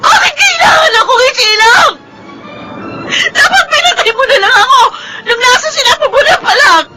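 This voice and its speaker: Very high-pitched, tearful young daughter. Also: female voice, lively intonation, moderate pace, raised voice, music in the background, poor audio. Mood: furious grief. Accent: Filipino. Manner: melodramatic, wailing, tearful. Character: grief-stricken young orphaned adopted daughter, angry at God. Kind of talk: Filipino radio drama acting.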